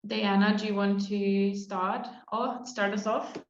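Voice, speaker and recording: A woman moderates an online panel at an unhurried pace, friendly as she explains how it will run. Her call audio sounds roomy and somewhat dull. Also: mid-range voice, level tone. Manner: friendly, instructive.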